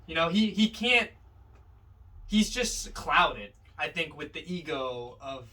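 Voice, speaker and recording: Bright, animated man on a distant mic. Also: a little high, slow. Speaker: podcast co-host. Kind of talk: casual film discussion.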